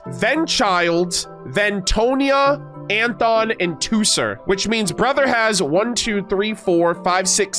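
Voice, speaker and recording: A young adult woman doing casual, excitable game commentary as a reaction streamer, informal, slangy and sweary. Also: on the high side.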